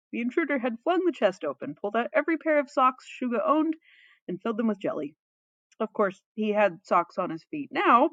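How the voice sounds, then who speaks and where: Animated woman reading a story, swooping pitch. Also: dry recording, lo-fi sound. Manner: playfully dramatic. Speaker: fan fiction reader. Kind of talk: expressive story narration with playful asides.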